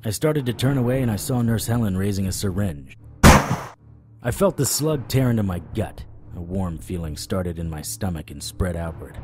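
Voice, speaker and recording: A man playing a wry, world-weary supernatural private investigator, narrating in a deadpan noir audio drama style. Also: sits low, normal speed, over music. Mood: tense, deadpan.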